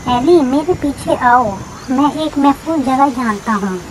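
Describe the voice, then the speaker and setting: A woman plays a plucky little male critter from a kids' cartoon. The voice sits high and sounds earnest and resolute. There is light noise with insect ambience behind it. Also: expressive, unhurried, rough recording. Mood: urgent. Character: small brave quick male animal friend, eager helper. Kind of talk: children's cartoon story dialogue.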